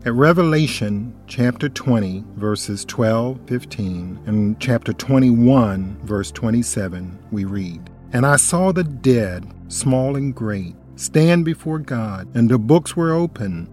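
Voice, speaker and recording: A man co-hosting a scripted radio Bible study, reading scripture solemnly and urging the listener on. His voice sits at a middle pitch, and gentle music plays under it. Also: expressive, slow, hardly any pauses. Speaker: co-host and Bible study presenter.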